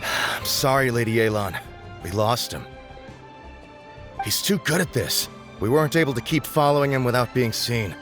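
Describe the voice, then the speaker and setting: An actor playing an earnest, dutiful agent in a video game, reporting in a serious, deferential way. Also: male voice, medium pitch, expressive, moderate pace, fairly bright tone, lightly acted. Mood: apologetic. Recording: music playing underneath.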